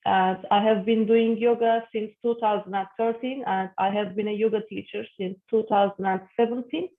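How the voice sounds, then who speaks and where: A woman of about thirty-two with a Turkish accent and a mid-pitched voice. She speaks as an interview guest, giving an earnest personal account in deliberately chosen phrases. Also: fairly even intonation, phone-quality sound. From Istanbul, Turkey. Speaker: guest, psychologist and yoga teacher.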